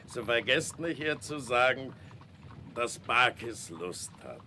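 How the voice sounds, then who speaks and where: An actor playing a bashful, awkwardly smitten country carter in a 1960s German radio drama, halting and plain-spoken. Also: male voice, mid-range voice, expressive, sharp tone, exaggerated voice. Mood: shy, earnest. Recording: 1960s radio with clear background noise.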